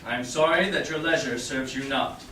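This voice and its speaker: A man's voice: medium pitch, normal speed, pushed and bright, natural voice. A man playing a commanding, courteous duke in a Shakespearean stage reading. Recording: distant echoey small theatre, background noise.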